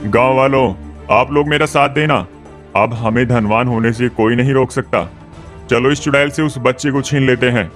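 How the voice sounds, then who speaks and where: A man plays a greedy tantric sorcerer in a cartoon, with a mid-pitched voice. He schemes and declaims persuasively over faint music. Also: normal speed, close to an everyday voice. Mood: rallying. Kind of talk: Hindi cartoon story dubbing.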